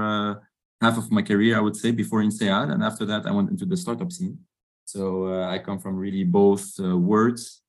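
Deep-voiced man, halting. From Lebanon. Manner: hesitant, many fillers and "I would say". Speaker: MBA student council member. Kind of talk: interview answers.

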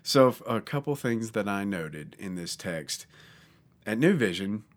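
A man's voice: on the low side, animated, unhurried. A man with a scratchy voice from allergies, teaching a casual podcast Bible lesson with frequent restarts. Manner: conversational, with frequent restarts and fillers. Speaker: pastor and podcast host.

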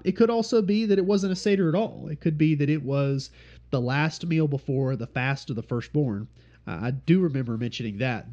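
Stuffy-nosed man teaching, slightly high voice. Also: muffled recording. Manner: steady explanatory teaching, pausing to repeat points. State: stuffy nose from congestion. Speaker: Bible study teacher. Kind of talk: informal Bible study teaching.

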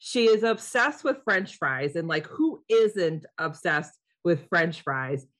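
A woman's voice: fairly low pitch, lively intonation, slow, a bit bright. Upbeat, chatty podcast host woman. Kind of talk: podcast interview.

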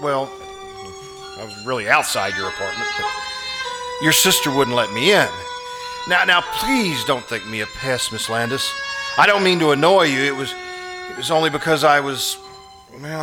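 A man's voice: mid-range voice, animated, moderate pace, close to an everyday voice, low-quality recording. An actor playing a desperate, sleepless, nervous man who hesitates and stammers in old-time radio suspense style. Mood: desperate, pleading. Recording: live stage performance with music.

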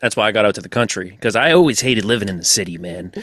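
A man's voice: lowish voice, lively intonation, fast, fairly bright tone. A man from Massachusetts co-hosting a podcast, chatting loosely and swearing freely. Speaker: podcast co-host. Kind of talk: casual podcast chat.

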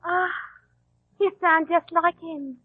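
A woman's voice: very high-pitched, steady tone, slow, no room sound. An actress playing a chummy, probing tavern girl with shady schemes in a 1940s radio drama. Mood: conspiratorial. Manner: familiar, coaxing, probing. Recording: tinny, narrow old radio recording.

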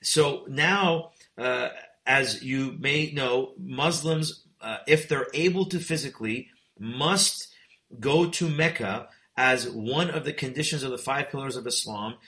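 A male talk show host with a bright, forceful voice, interviewing his guest. He recaps the story for listeners, stopping and restarting his sentences often. The room sounds echoey. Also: mid-range voice.

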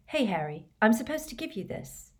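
A woman's voice: on the high side, sing-song, normal speed, a little mellow, pitched above the performer's own voice. A woman voicing a teenage girl who speaks lightly and offhandedly. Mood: breezy.

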